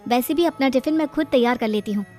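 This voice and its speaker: A woman's voice: sits high, fast, a little mellow, soft background music, lightly acted. A woman playing an indignant, defensive unmarried schoolteacher daughter of about thirty in a cartoon story. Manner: indignant, protesting. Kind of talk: Hindi cartoon story acting.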